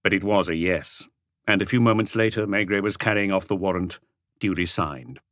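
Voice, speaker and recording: A man's voice: sits low, normal speed, a bit bright, no room sound, clean studio sound. A man reading scripted detective fiction as an audiobook narrator.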